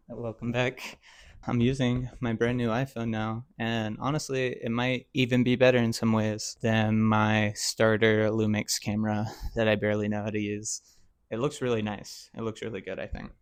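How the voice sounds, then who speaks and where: Man in his twenties, low voice, flat pitch, casual rambling vlog talk, lots of fillers, reflective. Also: moderate pace, clean tone. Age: 20s. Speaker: YouTuber.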